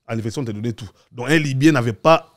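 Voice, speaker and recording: Man, quick pace, medium pitch, assertive political talk, explains by example. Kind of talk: opinionated political discussion.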